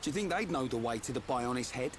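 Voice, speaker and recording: A man's voice: mid-range voice, animated, quick pace, background noise, natural voice. A man playing a brash, good-hearted young fighter in a video game. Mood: concerned.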